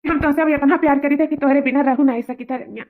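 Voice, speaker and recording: High-voiced melodramatic young male lover played by a woman. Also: steady tone, fast talker, lightly acted. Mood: lamenting. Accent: eastern Uttar Pradesh. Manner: melodramatic, repetitive, wheedling. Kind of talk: cartoon family comedy acting. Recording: tinny, phone-like narrow audio.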